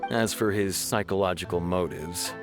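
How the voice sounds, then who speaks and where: An actor playing a stern, matter-of-fact city guard officer in a video game. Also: male voice, sits low, moderate pace, music in the background. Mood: serious.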